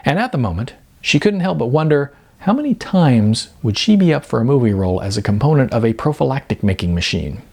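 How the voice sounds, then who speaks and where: A man's voice: mid-range voice, very animated, a little fast, hardly any pauses, warm tone. A man narrating comic fiction expressively, with a wry, emphatic, sardonic delivery. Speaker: audiobook narrator.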